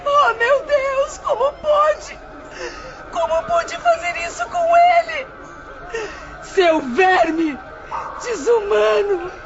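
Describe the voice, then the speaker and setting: A woman's voice: very high voice, animated, very slow, pauses often, over a noisy crowd, heavily acted. An actress playing an anguished wife and mother, tearful and imploring in a melodramatic audio drama. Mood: anguished outrage. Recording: dull lo-fi, music behind.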